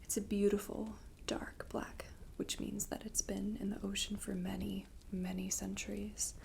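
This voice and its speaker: Woman acting mysterious seaside shopkeeper, young, hushed ASMR whisper, gravelly fry, even pitch, unhurried, intimate. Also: a little hiss or hum. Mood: hushed, inviting. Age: young adult. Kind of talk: soft-spoken whispered ASMR roleplay. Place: dim candlelit tabletop set indoors.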